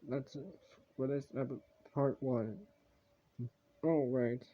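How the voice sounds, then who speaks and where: A man's voice: medium pitch, steady tone. Rambling amateur male video host, muffled lo-fi sound. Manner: rambling, repetitive, halting. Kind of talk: casual improvised commentary and sign-offs. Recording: dull, lo-fi home recording.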